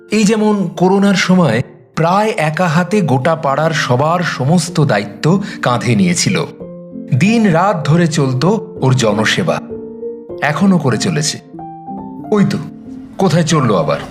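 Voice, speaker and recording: A man tells a story as its chatty narrator, slipping into conversational asides. His voice is fairly high and swings expressively up and down. Light music plays behind him. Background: background music.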